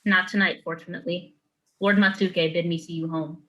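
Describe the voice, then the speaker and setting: Woman as a low-voiced, guarded female retainer. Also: steady tone, moderate pace, a bit bright, highs cut off, natural voice. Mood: reserved. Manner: formal, guarded. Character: reserved, dutiful female samurai retainer. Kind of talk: dramatic script reading.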